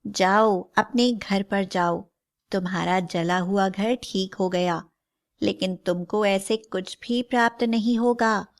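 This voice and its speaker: A woman's voice: mid-range voice, steady tone, takes it slowly. A woman voicing a stern, righteous mother goddess who admonishes and preaches in a moral storybook tale. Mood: commanding, instructive. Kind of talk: scripted moral storybook acting.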